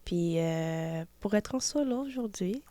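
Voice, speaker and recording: A woman's voice: medium pitch, animated, unhurried, warm tone, dry recording. A woman in her twenties from Haiti with a Haitian French accent, a singer answering interview questions hesitantly, with many fillers. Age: 20s. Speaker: singer guest. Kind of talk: casual interview.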